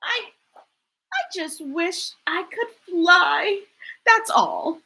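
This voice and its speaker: A frazzled little storybook bird squeaks out its complaints in a very high, bright voice, as a woman puts it on. It sounds indignant and put-upon, with its pitch jumping all over. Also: lively intonation, drawn-out words, gravelly, heavily acted. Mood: exasperated.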